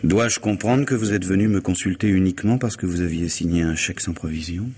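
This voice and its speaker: An actor playing a suave, middle-aged lawyer in a French radio mystery, wry, urbane and unruffled. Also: male voice, low voice, brisk, darkish tone, husky. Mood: measured, serious. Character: suave, ironic middle-aged male lawyer, coolly self-possessed.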